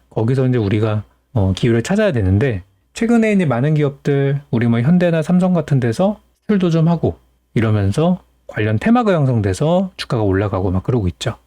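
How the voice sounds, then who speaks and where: A man's voice: medium pitch, dark tone, no echo. A man hosting as a stock market analyst, explaining things in an informal, conversational commentary style.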